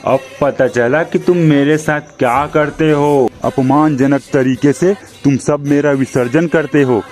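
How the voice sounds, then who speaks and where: A man's voice: a little high, steady tone, unhurried, darkish tone, faint music behind, natural voice. A man voicing an offended, stern god who scolds and declaims reproachfully in a cartoon moral story.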